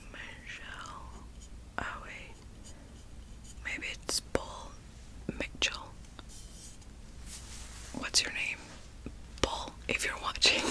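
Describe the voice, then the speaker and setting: Slow soft whisper, woman w/ rambling asmr-style, joking about herself. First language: Spanish. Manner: soft rambling whisper, self-deprecating asides. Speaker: ASMR creator.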